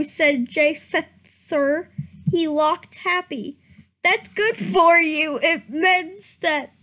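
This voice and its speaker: A woman's voice: very high-pitched, a bit bright. A woman doing a comedic dramatic reading of a story, giggly and prone to stumbling over the words. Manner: giggly, often breaking into helpless laughter; stumbles over misspelled words read literally. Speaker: reader of a fan fiction story.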